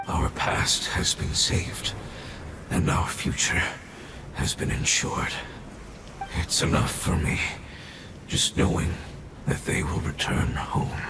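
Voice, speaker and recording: A man voicing an ancient, dying fungus creature in a video game, noble and weary, speaking solemnly and formally in a gravelly, breathy voice. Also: slow, pauses often, noisy background, close to an everyday voice. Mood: resigned, grateful. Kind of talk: scripted video game dialogue. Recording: echoing, distant, processed sound. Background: music.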